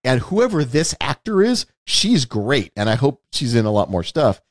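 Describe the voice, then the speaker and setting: A man's voice: medium pitch, very animated, normal speed, no echo. Man chatting about films, close dry sound. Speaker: podcast co-host, television producer. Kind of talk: casual film review chat.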